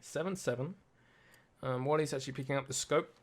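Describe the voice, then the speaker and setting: A man commentating a competitive shooter match play by play, tired and a little raspy late at night. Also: mid-range voice, brisk. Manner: self-deprecating, talks through tech trouble. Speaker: esports caster.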